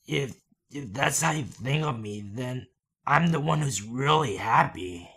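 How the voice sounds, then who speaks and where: A man voicing a starving, emotional young wolf in an anime fan dub, with a gravelly voice and halting delivery. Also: mid-range voice, expressive, fairly bright tone, exaggerated voice. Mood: flustered. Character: anguished young male wolf, starving, devoted to his friend.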